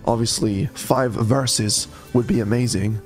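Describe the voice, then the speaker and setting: A man's voice: medium pitch, measured pace. Young guy sick and losing his voice, hyped slot commentary, faint music behind. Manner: excitable, breaks into loud yelps at wins. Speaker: slot streamer. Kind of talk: live commentary over online slot play.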